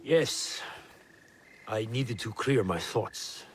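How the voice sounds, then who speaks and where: An actor playing a solemn, tender middle-aged shinobi father and clan elder, speaking in a measured, reflective way in a video game drama. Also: on the low side, lively intonation, a little hiss or hum. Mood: tender, grave.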